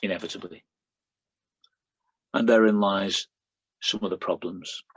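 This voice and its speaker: Lancashire guy reading his poems, husky lowish voice, dry sound, rhythmic with chatty bits. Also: steady tone, measured pace, no room sound, highs cut off. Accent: Lancashire English. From Lancashire, England. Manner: rhythmic, emphatic reading with chatty asides and fillers. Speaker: poet. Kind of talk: poetry reading with spoken introductions.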